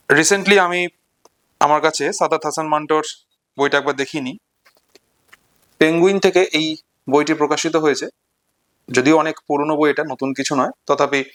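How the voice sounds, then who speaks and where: A man with a fairly high voice presents literature as an informal lecture. He speaks in deliberate, measured phrases, setting out a story piece by piece. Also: a bit bright.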